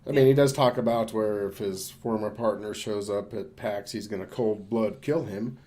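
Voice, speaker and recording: A man's voice: fairly low pitch, moderate pace, warm tone, slight background noise. A man co-hosting a podcast, discussing film casually in a hesitant, rambling, conversational way. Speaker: podcast co-host.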